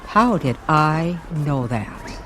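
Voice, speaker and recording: Desperate grieving mother , played by a woman for radio drama: slow, pleading, emotionally varied pitch. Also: lively intonation, a bit bright, a little hiss or hum, heavily acted. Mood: bewildered. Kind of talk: audio drama dialogue.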